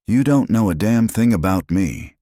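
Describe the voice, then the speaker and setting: A man's voice: on the low side, animated, moderate pace, warm tone, no room sound, clean studio sound, voice pushed up, close to an everyday voice. A man voicing a desperate, hungry woman outlaw who talks in curt, growling frontier speech. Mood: defiant.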